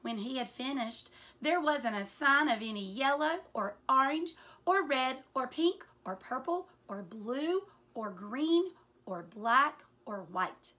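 A woman with a high, bright voice reads a picture book aloud to children. She takes her time over the phrases and tells the story with plenty of expression, like a teacher. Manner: deliberate, animated storytelling with hushed asides. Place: small furnished room indoors.

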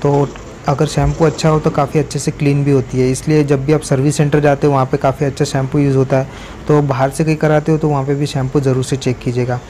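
Young male vlogger, flat and even delivery, chatty step-by-step explainer, outdoor phone recording with noise behind. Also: medium pitch, quick pace, poor audio. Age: young adult. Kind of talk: casual vlog narration. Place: outdoor car wash yard.

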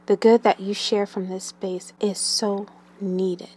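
A woman leading a guided meditation in a gentle, soothing manner, with long pauses and breathy phrase endings that trail away. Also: mid-range voice, little pitch movement.

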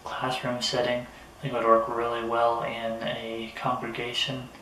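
Even-toned man reviewing gear, roomy sound. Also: mid-range voice, a little slow. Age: middle-aged. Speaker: product reviewer. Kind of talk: casual unboxing and gear review, talking to camera. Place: small indoor room at a table. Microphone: camera a few metres away. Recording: roomy, distant camera mic with noticeable noise.

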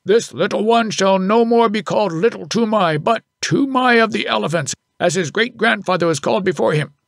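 A man's voice: sits high, fairly quick, vocal fry, a bit bright, no echo, pitched above the performer's own voice. A man voicing a proud veteran head elephant driver, declaiming exultantly in an audiobook story. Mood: proclaiming.

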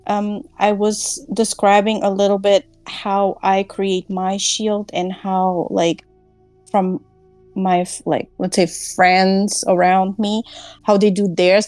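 A woman's voice: medium pitch, darkish tone, no echo. Chatty woman hosting a call. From Germany. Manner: chatty, giggly, many fillers. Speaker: online class host. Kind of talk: casual group call chat.

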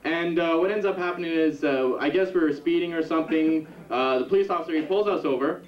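A man's voice: on the high side, recorded from a distance. Young male stand-up comic in a roomy club. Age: young adult. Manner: rambling, halting delivery with many restarts. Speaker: stand-up comedian. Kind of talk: stand-up set. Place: comedy club stage. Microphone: handheld stage mic on a stand. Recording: roomy, dull 1991 camcorder tape from the audience. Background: audience laughter.

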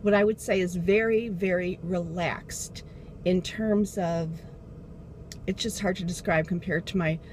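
A woman's voice: fairly low pitch, fairly even intonation, unhurried, darkish tone, poor audio. A middle-aged woman chatting casually while she drives, rambling and losing her train of thought as she goes. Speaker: beauty vlogger. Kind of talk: casual chat. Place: inside a car while driving. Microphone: dashboard camera. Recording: car engine and road noise.

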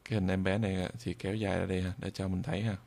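A man with a southern Vietnamese accent giving an improvised software tutorial, halting and repeating phrases as he demonstrates. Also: low voice, flat delivery, gravelly. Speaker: tutorial instructor.

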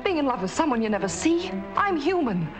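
An actress playing a restless, ambitious young nurse in a 1940s film, pleading and emotional. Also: female voice, sits high, sing-song, brisk. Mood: defiant. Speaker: nurse torn between love and money. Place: outdoor park bench at night on a film set. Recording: old film soundtrack with music.

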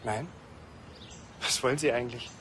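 A man's voice: medium pitch, normal speed, a bit bright, slight background noise, close to an everyday voice. A man playing a brash, impatient fashion photographer in a TV crime comedy. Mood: indignant.

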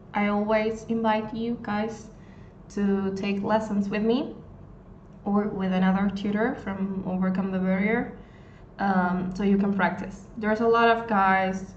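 A woman in her twenties from Argentina, a native Spanish speaker with an Argentine accent, answering a question on a live lesson and searching for words in English. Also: medium pitch. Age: 20s. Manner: unhurried, searching for words with many ums and restarts. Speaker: Spanish tutor. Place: small room indoors. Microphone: phone at arm's length. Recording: echoey, dull phone audio with faint noise.

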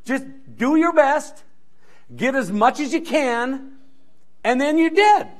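A man's voice: very high-pitched, slow. A middle-aged male pastor delivering a sermon on a church stage into a handheld mic, emphatic and repeating key phrases as he moves around. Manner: animated, strolling the stage and gesturing.

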